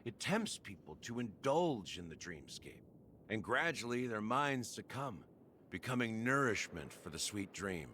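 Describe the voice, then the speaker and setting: A man voicing a sly, laid-back middle-aged man with secrets in a video game, speaking in an unhurried, theatrical, knowing way. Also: on the low side, big pitch swings, sharp tone, a little hiss or hum, heavily acted. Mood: grave storytelling. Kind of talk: video game voice acting, dramatic exposition. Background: game music.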